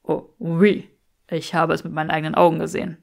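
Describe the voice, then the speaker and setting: A woman's voice: deep voice, level tone, a little fast, gravelly. A woman voicing a smug, teasing young aristocratic man with a drawling, mock-serious manner in an audiobook.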